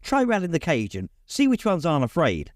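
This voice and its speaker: A man reading aloud puts on a kindly father coaching his young son in a storybook. The voice is lifted in pitch and lively, with an encouraging, deliberate manner. It sits in a roomy recording. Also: studio quality.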